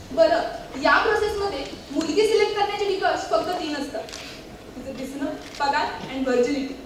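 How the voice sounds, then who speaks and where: A woman acting an outspoken young woman who resists arranged marriage, declaiming emphatically in a stage play. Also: very high voice. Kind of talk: theatrical stage monologue. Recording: echoey stage hall from far, clear noise.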